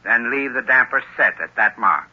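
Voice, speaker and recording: A man's voice: mid-range voice, lively intonation, normal speed, husky. A man with an American accent giving formal, instructive, scripted advice in a 1930s radio advertisement style. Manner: formal, instructive, carefully paced phrasing. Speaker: heating expert in a radio advertisement. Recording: muffled old radio broadcast.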